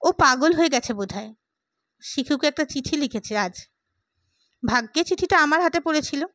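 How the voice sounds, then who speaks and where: A woman plays a fervent, idealistic gentleman in an audiobook novel. The voice is high and emphatic, talkative and oratorical, recorded dry. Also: expressive, moderate pace, no echo. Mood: amused, confiding. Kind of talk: dramatised audiobook character.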